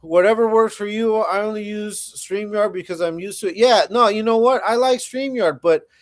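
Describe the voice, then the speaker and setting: A man's voice: high voice, moderate pace. A man in his forties hosting a casual livestream, chatting laid-back with viewers and often repeating himself. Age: 40s. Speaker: livestream host.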